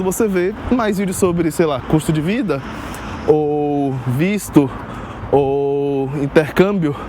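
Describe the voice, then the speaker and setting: A young man from Salvador, casually explaining to his vlog audience in a direct, conversational way. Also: fairly high pitch. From Salvador, Northeast Brazil. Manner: conversational, direct address with rhetorical asides. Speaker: vlogger on life abroad. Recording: street noise behind.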